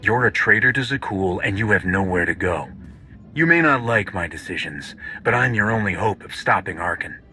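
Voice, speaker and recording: A man's voice: fairly low pitch, expressive, moderate pace, bright, forceful tone, faint music behind. A man playing a resolute, pragmatic rebel commander in a video game, firm and matter-of-fact. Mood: stern. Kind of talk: video game cutscene acting.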